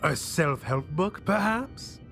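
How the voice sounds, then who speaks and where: An actor playing a flamboyant, ancient mastermind villain in a video game, pompous, grandiose and mock-polite with theatrical delivery. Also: male voice, a little high, animated, slow pace, vocal fry, a bit bright, soft background music, heavily acted. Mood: smug delight.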